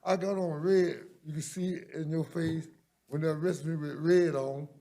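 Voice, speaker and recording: A gravelly, darkly toned man in his seventies speaking as a defendant. He rambles and repeats himself, pleading with the judge and calling her ma'am over and over. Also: on the high side, steady tone, moderate pace, dark tone. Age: 70s. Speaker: defendant at a bond hearing.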